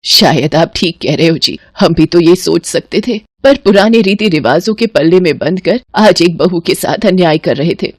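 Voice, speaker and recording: A woman playing a gentle middle-aged mother in an audio family drama, caring, earnest and worried. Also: on the low side, animated, moderate pace, no room sound, studio quality. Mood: gentle, regretful.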